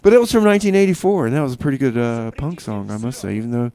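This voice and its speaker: A man hosting a radio show with casual, rambling DJ patter in a halting, tongue-in-cheek, mock-official manner. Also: medium pitch, warm tone.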